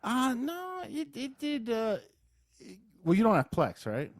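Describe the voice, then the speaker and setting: A man's voice: very high voice, huge pitch swings, a little slow, no room sound, studio quality. A man co-hosting a podcast in casual chat, with a sardonic, grumbling, sometimes profane manner. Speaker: podcast co-host.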